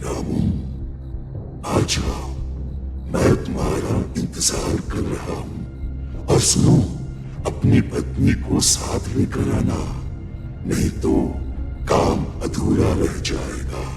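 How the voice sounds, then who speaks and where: A man voicing an unseen evil spirit, luring in a slow, breathy, menacing voice for an animated horror story. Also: sits low, loud music behind the voice, full character voice. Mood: sinister, luring. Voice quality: breathy, hushed.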